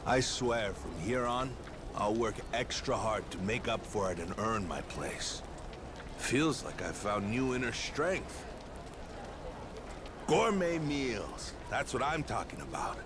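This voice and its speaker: A man playing a contrite but hearty middle-aged pirate crewman in a video game, speaking in an earnest, halting way. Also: medium pitch, animated, background noise. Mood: upbeat. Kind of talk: dramatic video game dialogue.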